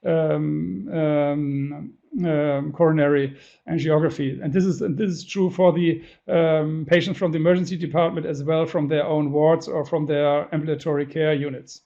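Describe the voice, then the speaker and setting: A middle-aged man with a non-native English accent, a senior radiologist answering webinar questions in a halting, hesitant way with frequent self-corrections. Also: a little high, steady tone, gravelly, warm tone. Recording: dull lo-fi webinar audio.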